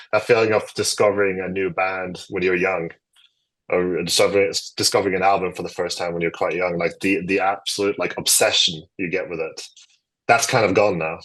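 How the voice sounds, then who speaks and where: A man's voice: low voice, fairly bright tone, poor audio. A Norwegian man, a guitarist guest with a Norwegian accent, chatting casually and rambling with many fillers and restarts. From Norway. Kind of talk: casual chat.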